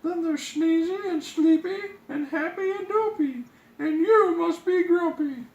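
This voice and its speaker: A man's voice: extremely high pitch, unhurried, a little mellow, echoey room, highs cut off, pitched above the performer's own voice. A man voicing a sweet, innocent young princess in a storybook reading, polite and wide-eyed. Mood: friendly surprise. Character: sweet, innocent young fairy-tale princess, gushing and gentle.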